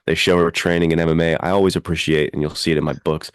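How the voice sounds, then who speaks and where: A man's voice: extremely low pitch, flat delivery, quick pace, clear, resonant tone, no echo, clean studio sound. A man co-hosting a podcast in casual chat, informally and enthusiastically recommending things. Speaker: podcast co-host.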